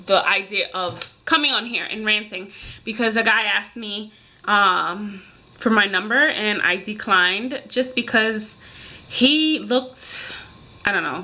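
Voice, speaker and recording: A woman's voice: medium pitch, bright, forceful tone. A woman in her thirties speaking casually and emphatically to viewers, like a vlogger airing a rant. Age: 30s. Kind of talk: casual ranting chat to viewers.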